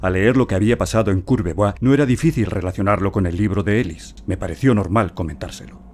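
An actor playing a curt, haughty bookseller in a radio crime drama, condescending. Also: male voice, on the low side, quick pace, darkish tone, lightly acted. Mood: irritated, dismissive.

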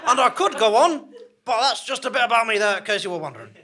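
Man playing a high-pitched, grandiose chatty demon. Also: sing-song, quick pace, bright, forceful tone, heavily acted. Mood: chatty, apologetic. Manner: grand proclamations dropping into casual chat. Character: chatty, grandiose, theatrical male demon of the Inferno. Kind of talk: live comic audio drama.

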